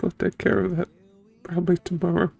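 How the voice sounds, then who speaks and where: Choked up streamer chatting to viewers, husky teary voice, sniffly, keeps stalling, music behind. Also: medium pitch, flat delivery, darkish tone. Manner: halting, sighing, at a loss for words. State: tearful, sniffling, choked up from crying. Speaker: streamer, game playthrough host. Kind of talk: emotional unscripted chat to viewers after finishing a game.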